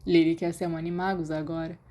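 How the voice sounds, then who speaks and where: A woman's voice: low-pitched, flat delivery, fast, warm tone, natural voice. Woman reading as an awkward Brazilian teenage boy. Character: awkward, nervous, well-meaning teenage boy.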